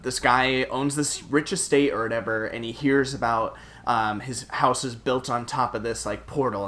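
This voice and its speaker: A man's voice: mid-range voice, a bit bright, slight background noise. A man co-hosting a podcast, chatting casually about video games. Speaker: podcast co-host.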